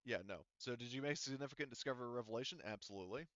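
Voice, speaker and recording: A man's voice: medium pitch, very fast, a bit bright, no echo, lo-fi sound. A man chatting casually as game master of a tabletop roleplaying game, teasing and swearing freely.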